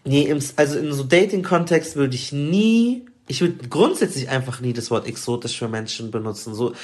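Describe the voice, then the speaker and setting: A man's voice: medium pitch, expressive, moderate pace. A man co-hosting a casual discussion, speaking informal, slangy German with bits of English mixed in. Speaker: talk show co-host.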